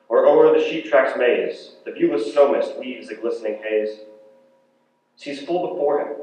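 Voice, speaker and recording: A man's voice: medium pitch, a little mellow. A young man in his teens giving a dramatic, declamatory poetry recitation with emphatic, theatrical phrasing. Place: large echoey indoor hall at a poetry competition. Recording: very echoey hall, distant dull mic, faint noise.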